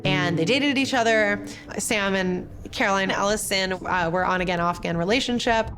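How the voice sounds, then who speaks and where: A woman's voice: mid-range voice, normal speed, a bit bright. Explanatory woman journalist over music. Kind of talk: documentary interview. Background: documentary score music.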